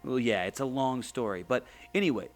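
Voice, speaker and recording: An actor playing a skeptical, sarcastic, wary adventure fan in an audio drama. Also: male voice, mid-range voice, a little fast, faint music behind, close to an everyday voice. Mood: questioning. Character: skeptical, sarcastic male adventure enthusiast, wary buddy type.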